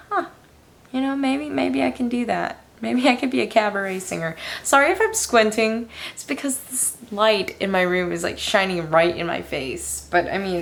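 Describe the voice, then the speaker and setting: A young woman with a raspy voice, answering viewer questions casually in her room, tired and hesitant with a self-deprecating manner. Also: fairly high pitch. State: tired with a headache. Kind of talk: casual Q&A chat.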